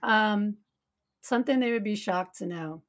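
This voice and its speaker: A middle-aged woman with a naturally raspy voice, co-hosting a podcast in casual chat, laughing often and talking in quick asides. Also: medium pitch. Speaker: podcast co-host.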